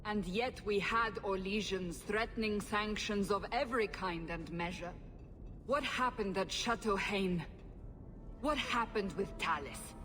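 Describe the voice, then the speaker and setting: A woman playing a stern, commanding interrogator in a video game, imperious and deliberate in her speech. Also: medium pitch, bright, forceful tone. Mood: stern, demanding. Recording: long hall reverb, faint music.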